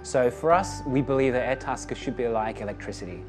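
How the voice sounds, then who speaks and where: A man's voice: mid-range voice, fairly quick. A young man giving an enthusiastic, emphatic customer testimonial as a data scientist. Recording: voice over loud music.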